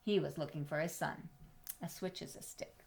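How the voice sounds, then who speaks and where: A woman reading a children's storybook aloud expressively, with dramatic pauses, drawn-out words and questions to her young listeners. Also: low voice, fairly even intonation, vocal fry, a little mellow, slight background noise. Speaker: storybook reader.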